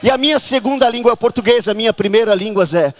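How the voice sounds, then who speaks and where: A man from Brazil preaching a fervent charismatic sermon at a church conference, often shouting and urging the congregation to act. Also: extremely high pitch, fast.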